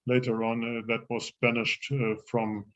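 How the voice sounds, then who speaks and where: Man, German accent, language teacher, halting delivery, medium pitch, dull lo-fi sound. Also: level tone, fairly bright tone. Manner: halting, searching for words. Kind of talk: explaining in an online language class.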